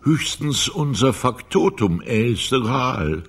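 Husky, guarded male office boss. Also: mid-range voice, very animated, a bit bright, heavily acted. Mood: guarded, probing. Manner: brisk, guarded. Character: guarded, busy office boss, curt and probing. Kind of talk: naturalistic radio crime drama acting.

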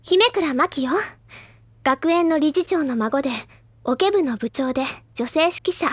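A woman's voice: high voice, lively intonation, unhurried, no room sound. An actress playing a proud, childish, easily flustered teenage schoolgirl in a Japanese audio drama. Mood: brisk, eager. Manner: pouty, stammering when flustered.

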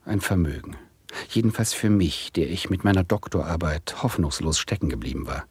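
An actor playing an adult nephew who narrates a radio play in a wistful, ironic, literary way. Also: male voice, low-pitched, lively intonation, moderate pace, slightly rough, no room sound, heavily acted. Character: wry adult nephew, stalled doctoral student, narrating.

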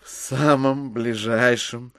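An actor playing an ingratiating gentleman schemer who declaims with florid courtesy in a classic audio drama. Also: medium pitch, fairly even intonation, slow pace, no room sound. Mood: courtly, flattering. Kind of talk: theatrical Russian classic audio drama acting.

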